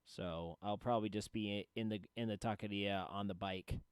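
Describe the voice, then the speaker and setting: A man's voice: fairly low pitch, fairly even intonation, normal speed, smooth, dry recording, clean studio sound. A man hosting a livestream, talking casually with friends and viewers as he plays. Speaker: livestream host. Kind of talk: casual gaming stream chat.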